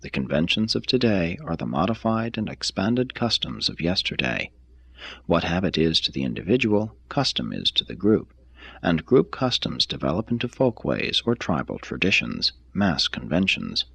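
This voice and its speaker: A man reading a written text aloud like an audiobook, in a measured, formal, deliberate manner. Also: sits low, very animated, creaky, a bit bright, slightly rough. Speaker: reader of a text. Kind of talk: scripted reading, audiobook-like narration.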